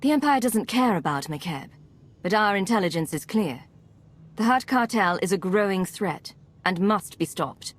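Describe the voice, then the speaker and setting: A woman playing a composed, resolute Imperial agent commander in a video game, speaking in a measured, firm manner. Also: mid-range voice, expressive, natural voice.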